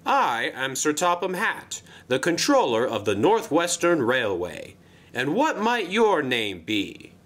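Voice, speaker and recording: A fussy, pompous railway controller, voiced by a man, with a high, bright, forceful tone. His speech rises and falls all over the place as he bustles along in a brisk, formal manner for a storybook tale. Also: wildly swooping pitch, heavily acted.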